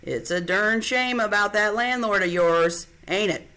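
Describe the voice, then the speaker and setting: A woman's voice: mid-range voice, a little slow, sharp tone, pitched above the performer's own voice. Lady reading as a laid back old drifter guy, folksy drawl, storybook.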